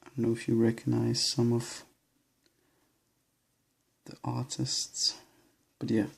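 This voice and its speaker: A man's voice: medium pitch, monotone, slow, vocal fry, husky. A young man with a Danish accent, listing books in a casual bookshelf tour, hesitant and a little nervous. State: nervous and shaky. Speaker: vlogger.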